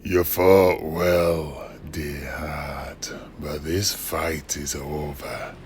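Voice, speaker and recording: A man voicing a menacing old undead pirate captain, courteously cruel, speaking deliberately in a breathy whisper. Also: rumbling low, fairly even intonation, darkish tone, a little hiss or hum, pitched below the performer's own voice, full character voice. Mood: menacing, condescending. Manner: slow, deliberate, mock-affectionate.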